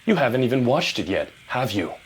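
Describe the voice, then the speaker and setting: Over faint background noise, a man plays a smug movie star with menace and delusion. His theatrical delivery swings widely, from seductive hush to grandiose bursts, in audio drama style. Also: medium pitch, big pitch swings, a little fast, lightly acted, rough recording. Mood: ominous.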